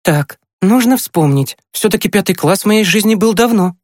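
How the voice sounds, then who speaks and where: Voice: mid-range voice, lively intonation, moderate pace, darkish tone, no room sound, clean studio sound, voice pushed up, close to an everyday voice. An audiobook narrator voicing a teasing, dramatic teenage girl, the older sister in a children's story. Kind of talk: acted audiobook dialogue.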